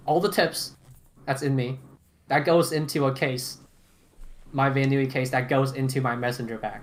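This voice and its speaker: A man's voice: mid-range voice, a bit bright. A man chatting casually as a podcast panelist, rambling emphatically in slangy, informal language. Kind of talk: casual roundtable chat. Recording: clearly noisy background ambience.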